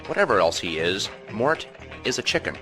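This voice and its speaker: An actor playing a self-important rooster doctor as a TV pundit in a game cutscene, pedantic and emphatic. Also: male voice, lowish voice, big pitch swings, normal speed, music in the background. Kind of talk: parody expert interview.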